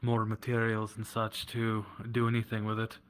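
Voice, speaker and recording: A man's voice: on the low side, monotone, normal speed, lightly acted. A man playing an obedient guard officer in a roleplay game, hesitant and deferential in a matter-of-fact way. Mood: dutiful, hesitant. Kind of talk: improvised roleplay in a virtual-world game.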